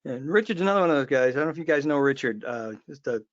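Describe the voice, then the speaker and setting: A man with a fairly high voice hosts a loose, casual live chat over muffled online audio. He reads viewer comments in an informal way, often doubling back to repeat a phrase. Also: expressive, rapid-fire. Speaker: host of a trade webinar. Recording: dull lo-fi online call audio.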